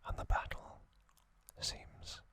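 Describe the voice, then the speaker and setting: A man whispering a scripted ASMR narration in short, deliberate, hushed phrases. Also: no room sound. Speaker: ASMR host.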